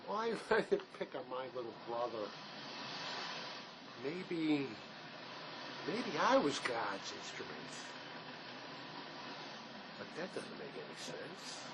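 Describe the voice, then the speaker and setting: A man acts out a brooding convict on the run, tormented by guilt. His somewhat high voice moves restlessly up and down as he questions himself. The naturalistic drama is heard through clear location noise. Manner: searching, self-questioning, halting. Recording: noisy location sound with steady ambient rumble.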